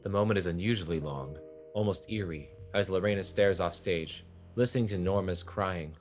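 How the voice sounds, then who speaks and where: Male narrator for a play's stage directions, very low pitch, faint music beneath. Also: moderate pace. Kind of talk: scripted narration of a stage play.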